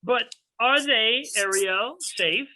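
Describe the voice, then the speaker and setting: A woman's voice: on the high side, sharp tone, poor audio. A woman playing a commanding, imperious sorcerer in a Shakespeare reading, declaiming theatrically to a servant spirit. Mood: approving, concerned. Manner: slow, stern, theatrical declamation.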